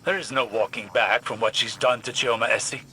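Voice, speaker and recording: A man playing a grave, resolute mentor in a video game, speaking in a solemn, measured, authoritative way. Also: medium pitch, fairly even intonation, bright, forceful tone, slight background noise, close to an everyday voice. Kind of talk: dramatic video game acting.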